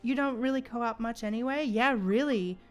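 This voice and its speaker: A woman's voice: on the high side, normal speed, faint music behind. A woman hosting a casual gaming livestream, chatting with viewers and thinking aloud in a playful, conversational way. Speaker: livestream host.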